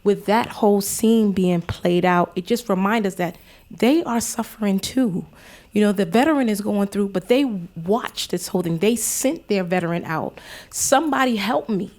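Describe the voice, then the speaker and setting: A woman's voice: medium pitch, normal speed, a little mellow. A woman making spontaneous, emphatic panel remarks, often voicing other people's pleas in the first person. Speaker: panelist who works with military spouses.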